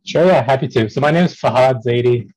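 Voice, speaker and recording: A man from Pakistan in his thirties, a podcast guest telling his life story in a relaxed, casual way with many ums and restarts. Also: medium pitch, fast talker, low-quality recording. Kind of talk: casual conversational interview.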